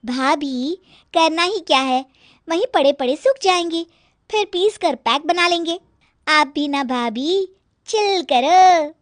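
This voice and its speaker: A woman's voice: very high voice, lively intonation, slow, no room sound, clean studio sound, voice pushed up, exaggerated voice. A woman voicing a cheeky, easygoing young daughter of the house who teases her sister-in-law. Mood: teasing. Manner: teasing, easygoing.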